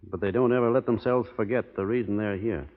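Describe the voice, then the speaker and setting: An actor playing a plainspoken, courteous, reserved American cowboy in a 1930s radio drama. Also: male voice, fairly low pitch, fairly even intonation, a little fast, lightly acted. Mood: earnest. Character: plainspoken, courteous young cowboy of the Old West. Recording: old muffled radio broadcast, long hall reverb.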